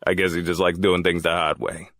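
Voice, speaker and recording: Man acting low-voiced, cold, sardonic crime boss. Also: flat delivery, brisk, fairly bright tone, dry recording, clean studio sound. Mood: dry, sardonic. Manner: sardonic, condescending, controlled. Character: cold, menacing male crime boss hosting powerful guests. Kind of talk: scripted audio drama dialogue.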